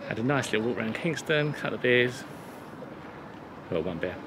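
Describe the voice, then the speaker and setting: A man's voice: medium pitch, expressive, a little fast, background noise. A man chatting casually and playfully as he narrates a vlog outdoors. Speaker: vlog host. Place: outdoors by a river and boat. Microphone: handheld camera, operator behind it.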